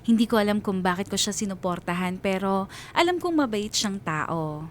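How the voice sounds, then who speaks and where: A wistful Filipino man telling his own story in a radio drama. The narration is reflective and scripted, with a slight rumbling noise behind it. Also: lively intonation, normal speed. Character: Liam as reflective, wistful male letter-sender narrator. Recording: low rumbling background noise.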